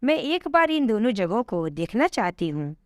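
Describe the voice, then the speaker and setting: A woman's voice: mid-range voice, very animated, normal speed, dry recording, studio quality, lightly acted. A woman voicing a kind, curious, pious elderly woman in a polite, wondering storybook manner. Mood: grateful request.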